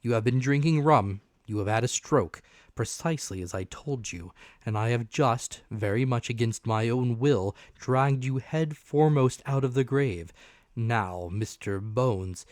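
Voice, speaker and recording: A man voicing a brusque, commanding country doctor who speaks emphatically and deliberately in a storybook reading. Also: medium pitch, a little mellow, no echo, studio quality, natural voice. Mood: stern warning.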